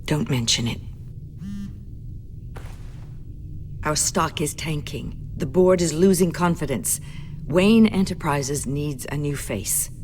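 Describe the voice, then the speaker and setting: An actress playing a businesslike company executive in a video game, formal, measured and coolly apologetic. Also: female voice, low-pitched, animated, darkish tone, slight background noise. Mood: uneasy, formal. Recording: music playing behind the voice.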